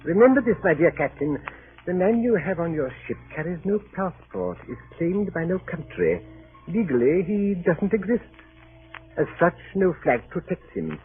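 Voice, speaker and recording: A man's voice: sits high, very animated, moderate pace, phone-quality sound, soft background music. A man playing a fussy, patronizing British consul with a hesitant, urbane drawl, in 1930s radio drama style on a scratchy old recording. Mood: slyly insinuating. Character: smug, fussy British consul, cynical and evasive diplomat.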